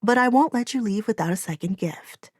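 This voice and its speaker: A woman playing a serene, compassionate goddess-like woman in an audiobook, making solemn, measured pronouncements. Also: medium pitch, expressive, no echo, clean studio sound, close to an everyday voice. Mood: tender, solemn. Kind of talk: audiobook character dialogue.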